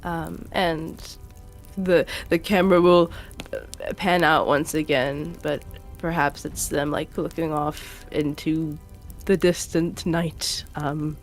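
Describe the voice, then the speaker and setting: A woman playing a tabletop role-play game, improvising third-person narration in a halting, informal way. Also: low-pitched, fairly even intonation, soft background music.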